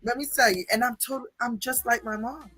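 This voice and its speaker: A middle-aged woman, chatting casually and telling stories as a livestream host, sassy and slangy with drawn-out emphasis. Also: fairly high pitch, steady tone, sharp tone, slightly rough, no room sound, faint music behind. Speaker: livestream host reading viewer comments.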